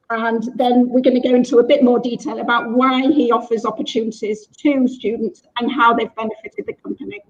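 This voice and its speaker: A woman's voice: a little high, lo-fi sound. A woman chairing an online panel discussion, upbeat and welcoming.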